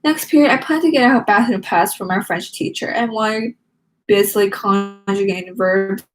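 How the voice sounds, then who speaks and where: A woman's voice: medium pitch, moderate pace, close to an everyday voice. A woman voicing a snobby, spoiled schoolgirl drama queen from a teen diary storybook, haughty, sugary-mean and dramatic. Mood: smug gloating.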